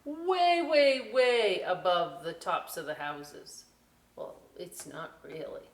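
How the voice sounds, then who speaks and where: A woman presenting a children's story time, reading aloud with chatty, animated, folksy asides straight to young viewers. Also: fairly low pitch, slow pace. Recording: roomy, distant mic with faint hiss.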